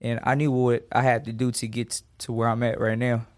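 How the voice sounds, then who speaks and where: Young streetwise boxer from Los Angeles. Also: male voice, mid-range voice, little pitch movement, a little fast. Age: 20s. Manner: streetwise slang, emphatic. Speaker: boxer guest. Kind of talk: casual interview.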